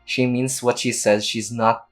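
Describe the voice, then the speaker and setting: A woman's voice: extremely low pitch, flat delivery, a little slow, soft background music. A woman streamer giving casual reaction commentary while playing a video game. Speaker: gameplay streamer.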